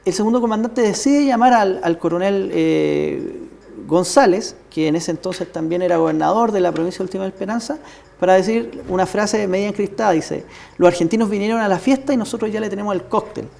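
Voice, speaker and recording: Chilean man with a mellow voice. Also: sits high, brisk, gravelly. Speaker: studio guest, military history commentator. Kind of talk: explanatory historical account in interview.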